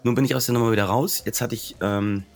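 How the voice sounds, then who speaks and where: A man co-hosting a casual podcast chat, slightly hoarse from a cold, talking haltingly with many fillers. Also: mid-range voice, steady tone, soft background music. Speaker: podcast co-host.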